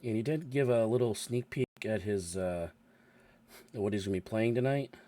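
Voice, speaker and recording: A man with a cold, hoarse, hosting and running a tabletop game session, halting with many fillers. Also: fairly low pitch, dark tone. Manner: halting, many ums and restarts. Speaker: dungeon master and show host. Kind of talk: casual announcements and chat. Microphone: new microphone.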